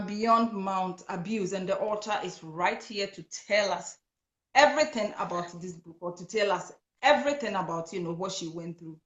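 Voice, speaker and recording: A woman hosting a live-streamed talk show, excitable and quick to laugh, with many fillers. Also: mid-range voice. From Ghana. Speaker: host of an online talk show. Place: home in Brisbane. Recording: echoey room.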